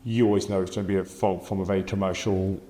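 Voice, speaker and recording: Mellow-voiced English man in an echoey room. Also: sits low, level tone, fast. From England. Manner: thoughtful, with frequent fillers and restarts. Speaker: podcast guest. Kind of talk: conversational interview answers.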